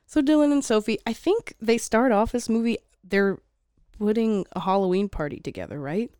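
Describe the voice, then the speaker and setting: A woman co-hosting a podcast in casual chat, her voice slightly raspy from a cold, laughing and joking often. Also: fairly high pitch, moderate pace, mellow, no echo, clean studio sound. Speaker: podcast co-host.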